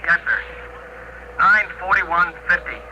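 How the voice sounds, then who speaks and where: An actor playing a dutiful, concerned ground controller in a 1950s radio drama. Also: male voice, high voice, expressive, takes it slowly, sharp tone, highs cut off, slight background noise, exaggerated voice. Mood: tense, dutiful.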